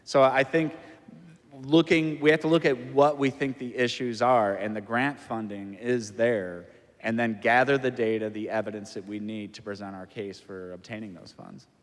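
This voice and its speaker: A man on a city council, explaining a proposal at a public meeting in a measured, explanatory way. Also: fairly low pitch, steady tone. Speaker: council member. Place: council chamber. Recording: long reverb of a council chamber.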